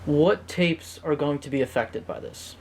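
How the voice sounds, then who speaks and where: A man's voice: on the high side, expressive, unhurried, vocal fry, slight background noise. A man speaking conversationally as an interviewer. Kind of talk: conversational questioning.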